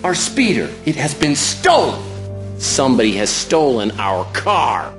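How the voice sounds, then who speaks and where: Freaked-out lady, car got stolen, low swoopy voice. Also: big pitch swings, measured pace, echoey room, soft background music, full character voice. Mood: alarmed. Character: frantic woman whose car was stolen.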